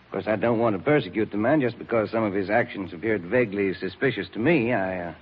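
An actor playing a formal, evasive relief official in a 1940s radio drama. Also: male voice, on the low side, quick pace, a little hiss or hum, natural voice. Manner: formal, guarded. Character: evasive, proper relief-foundation official, smooth and guarded. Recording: thin, phone-like old radio recording.

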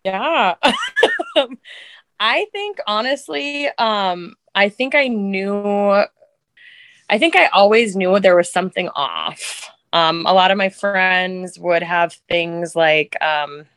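Slow, lively-pitched woman in her thirties. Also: no room sound. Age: 30s. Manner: hesitant, thinking aloud with fillers. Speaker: guest. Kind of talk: casual interview talk.

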